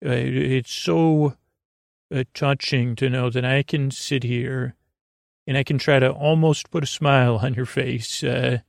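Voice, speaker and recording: A man with a noticeably creaky, mid-low voice hosts a bedtime podcast, recorded very dry. He meanders through an improvised monologue, restarting and drifting into tangents. His phrasing is whimsical and gently silly. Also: fairly even intonation, dry recording. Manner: meandering, halting, repeats and trails off into tangents. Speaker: host of a bedtime sleep podcast.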